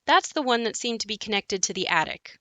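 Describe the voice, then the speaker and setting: A woman playing an adult narrator who looks back on her haunted childhood home in a scripted audio play, reflective and measured. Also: medium pitch, lively intonation, a bit bright, no room sound, close to an everyday voice. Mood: wry, reflective.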